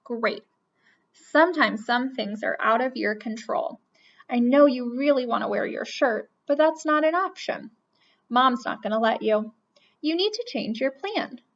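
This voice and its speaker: A woman voicing a patient young boy in a storybook, gently coaxing his little brother. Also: on the high side, expressive, unhurried. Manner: coaxing, encouraging. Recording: dull lo-fi recording.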